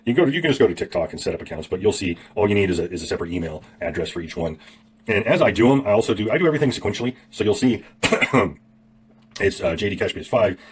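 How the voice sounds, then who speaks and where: A man with a cold, hoarse and losing his voice, giving a casual tutorial to his viewers, rambling and often clearing his throat. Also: deep voice. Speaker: YouTube affiliate marketing teacher. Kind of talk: casual tutorial talk to camera.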